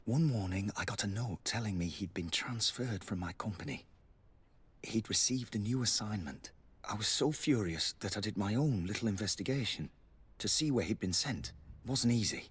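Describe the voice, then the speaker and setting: An actor playing a wary, grave military lieutenant with a husky voice in a video game, speaking in a secretive, measured way. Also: male voice, on the low side, lively intonation. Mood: grave, confiding. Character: guarded, wary male military lieutenant instructor. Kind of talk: video game character acting.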